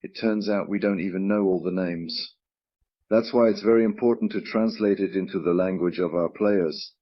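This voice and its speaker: Man, very low voice, native Russian speaker, chatty livestream talk, dry dull sound. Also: steady tone, moderate pace, no room sound. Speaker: game project presenter. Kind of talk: casual livestream chat.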